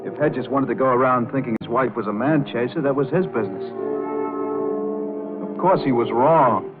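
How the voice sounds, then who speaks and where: An actor playing a cool, self-assured club pianist in an old-time radio crime drama, unruffled and matter-of-fact. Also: male voice, mid-range voice, normal speed, lightly acted. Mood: wry, storytelling. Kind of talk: old-time radio drama acting and first-person narration. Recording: tinny old radio recording with music behind.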